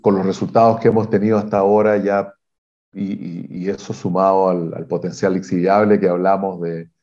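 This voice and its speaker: A man, a mining exploration executive, giving measured technical answers in an interview, pausing often with fillers and restarts. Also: sits low, flat delivery, dark tone, lo-fi sound. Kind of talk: interview answers on a video call.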